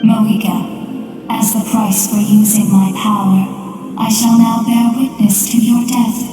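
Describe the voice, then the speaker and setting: An actress playing an ancient, cold and solemn death goddess in a video game, speaking with haughty deliberation. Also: female voice, a little high, flat delivery, a little slow, close to an everyday voice. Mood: solemn judgement. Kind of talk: video game cutscene acting. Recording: huge reverberant hall, distant, loud music.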